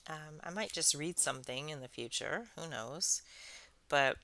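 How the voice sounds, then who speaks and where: A woman hosting a craft channel, chatting casually in a soothing, soft-spoken, rambling way. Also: low voice, lively intonation, unhurried. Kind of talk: casual chat while crafting.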